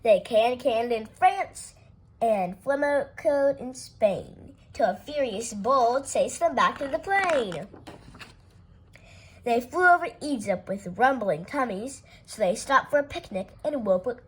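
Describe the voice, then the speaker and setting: Woman storyteller, fairly high voice, unhurried rhyming read-aloud for kids. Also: lively intonation, slight background noise. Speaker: storytime reader. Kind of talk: reading a rhyming picture book aloud.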